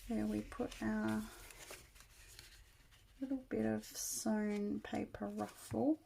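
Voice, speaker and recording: A woman getting over a cold, her voice still croaky, chatting casually as she works on a journal at her craft table. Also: mid-range voice, steady tone, slow, warm tone, a little hiss or hum. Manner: chatty, thinking aloud while working. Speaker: crafter demonstrating journal making.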